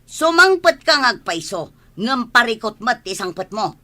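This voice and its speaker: A bitter, scolding Ilocano mother in a radio drama. Her voice is piercingly bright and fairly high, full of exasperated nagging. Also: very animated, measured pace, heavily acted.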